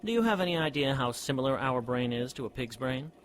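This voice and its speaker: A man's voice: medium pitch, lively intonation, fast, slight background noise, natural voice. An actor playing an eager, eccentric scientist in a period radio drama, precise and earnest as he lectures. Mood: enthusiastic. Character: eager eccentric male scientist, brother of a detective.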